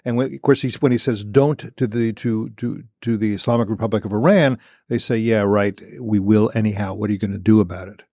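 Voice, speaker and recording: A man's voice: fairly low pitch, fairly even intonation, fairly quick, hardly any pauses, mellow, no echo, clean studio sound. An older man hosting a policy discussion, arguing his view with stammering restarts and mock quotes of others. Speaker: host.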